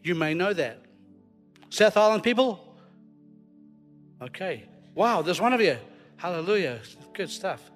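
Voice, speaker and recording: A man's voice: high voice, very animated, unhurried, pauses often, faint music behind. A Scottish man preaching informally to a congregation, telling stories in a jokey, self-deprecating way. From Scotland. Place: large hall, live before a congregation. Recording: long hall reverb, live church recording.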